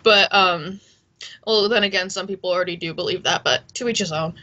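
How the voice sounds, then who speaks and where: Bright-voiced Californian woman rambling casually. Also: fairly low pitch, fairly quick. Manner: rambling, playful, says like a lot. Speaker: host. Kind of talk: casual chat.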